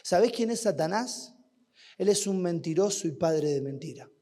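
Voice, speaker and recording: A man with an Argentine accent preaching a sermon at a youth camp, asking rhetorical questions and swinging between loud and hushed. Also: high voice, expressive, normal speed. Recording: long hall reverb.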